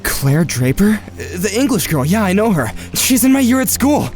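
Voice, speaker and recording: A man playing a watchful, tentative teenage boy, giving measured first-person narration in an audio drama. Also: high voice, sing-song, a little mellow, faint music behind. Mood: hesitant.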